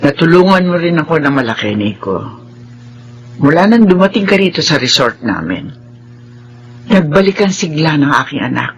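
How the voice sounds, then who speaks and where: Animated, coaxing older mother, high-pitched. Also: normal speed, long pauses, slightly rough, heavily acted. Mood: grateful, coaxing. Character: wealthy resort-owning mother, doting, persuasive older woman. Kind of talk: Filipino radio drama acting.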